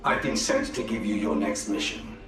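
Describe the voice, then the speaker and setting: A man playing a calm, formal elf spymaster. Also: fairly low pitch, moderate pace, reverberant, recorded from a distance, music in the background, lightly acted. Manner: formal, composed.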